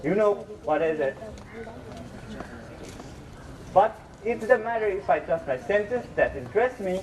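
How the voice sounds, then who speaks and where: A man's voice: sits high, expressive, normal speed. A man playing an aging, put-upon father in an amateur stage play, bitter and self-pitying, with stilted, declamatory delivery. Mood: accusing. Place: school stage performance, recorded from a distance. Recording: distant mic, noisy hall recording.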